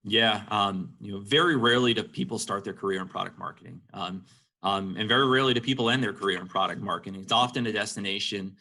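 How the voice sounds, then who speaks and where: A man with a fairly low, forceful voice gives conversational interview answers like a business executive. His tone is bright, and he fills his sentences with "you know" as he explains things. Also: fast, vocal fry. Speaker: senior marketing executive being interviewed.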